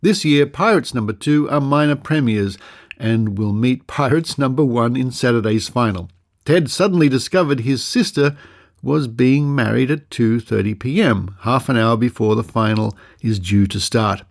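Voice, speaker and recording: Mature Australian male presenter, creaky mid-pitched voice, deliberate storyteller's read of archive news items. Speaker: podcast presenter. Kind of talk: reading old newspaper articles aloud with asides.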